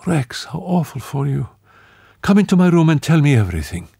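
A man reading aloud, voicing a kind young woman who urges her guests to stay, raising his voice high. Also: sing-song, a little fast, creaky, mellow, slightly rough, no room sound, clean studio sound, full character voice. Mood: solicitous. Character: young woman, warm, quick and caring hostess.

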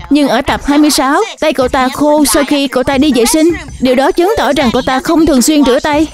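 Woman voicing a high-pitched, indignant cartoon character. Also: natural voice. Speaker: voice dubber. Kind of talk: dubbed children's superhero cartoon.

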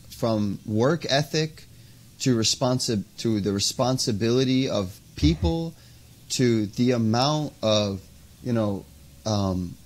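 A young man from the United States, a podcast guest talking casually and haltingly, with many "you know"s, over an earphone mic on a video call. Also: mid-range voice. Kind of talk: interview conversation. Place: indoors by a window, video call.

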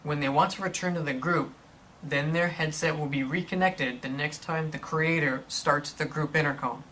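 A man reading scripted instructional narration in a measured, explanatory manner for a product tutorial. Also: on the high side, steady tone, pushed and bright, slight background noise.

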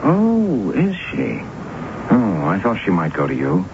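Affable, puzzled husband, swooping intonation. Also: male voice, low voice, huge pitch swings, measured pace, exaggerated voice. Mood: genial, puzzled. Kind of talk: 1970s radio drama acting. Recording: very muffled 1970s radio recording, noisy.

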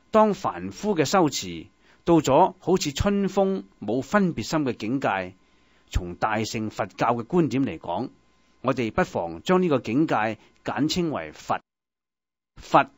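A man with a Hong Kong Cantonese accent delivers scripted Buddhist narration in a measured, expressive way, as if reciting verse. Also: medium pitch, no room sound.

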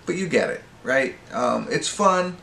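A middle-aged American man giving a casual, unscripted movie review in a halting, rambling way, often correcting himself. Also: mid-range voice, lively intonation, gravelly, fairly bright tone. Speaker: amateur movie reviewer and host. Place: small indoor wood-panelled room at home. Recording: roomy echo with faint background noise.

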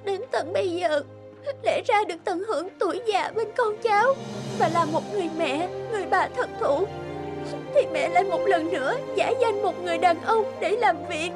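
A woman voicing a sorrowful, devoted grown daughter in an animated morality tale, earnest and plaintive. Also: very high voice, moderate pace. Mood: tearful regret. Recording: music behind the voice.

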